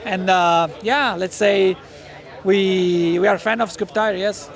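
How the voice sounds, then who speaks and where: A man racer giving a press day interview outdoors, speaking haltingly and searching for words. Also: high voice, steady tone. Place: outdoors at a race track. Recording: outdoor crowd noise.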